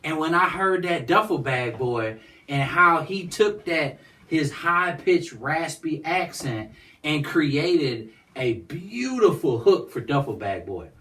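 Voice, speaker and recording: A man's voice: very animated, slow, a bit bright. A man, talking as a guest in a casual interview and rambling with constant "you know what I'm saying.". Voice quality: high-pitched voice. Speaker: guest, nonprofit founder. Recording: distant mic.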